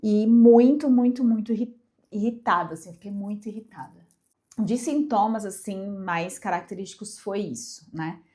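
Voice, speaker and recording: A woman's voice: mid-range voice, moderate pace, dark tone. A young Brazilian woman congested with a cold, chatting casually and emphatically to camera as a vlogger. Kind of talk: casual personal chat to camera.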